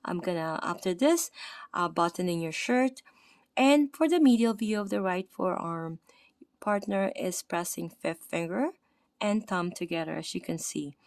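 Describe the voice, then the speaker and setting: A woman's voice: lowish voice, a little slow, darkish tone, no echo. Tired Filipino-accented woman, self-study narration with slips, dry recording. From Philippines. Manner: reads aloud with slips, self-corrections and filler "okay, right?". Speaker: anatomy study presenter. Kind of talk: reading aloud from a textbook.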